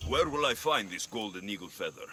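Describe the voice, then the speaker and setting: Wry mercenary, bright forceful voice. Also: male voice, on the low side, animated, a little fast, a little hiss or hum. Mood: businesslike. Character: wry, steady young male Greek mercenary for hire. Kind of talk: video game cutscene dialogue acting. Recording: music bed behind the voice.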